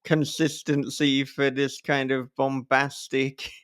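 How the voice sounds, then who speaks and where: A man's voice: medium pitch, level tone, slow, fairly bright tone. A man hosting a casual podcast conversation, talking effusively in long, wordy sentences with the odd casual swear word. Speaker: podcast host and author.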